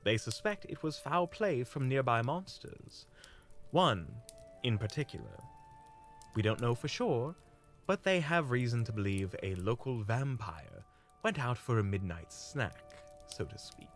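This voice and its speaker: A man playing a courteous, smooth-talking monster hunter in a fantasy audio drama, with formal, flowery politeness. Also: mid-range voice, expressive, a little slow, faint music behind. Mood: courteous, persuasive. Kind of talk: fantasy audio roleplay acting.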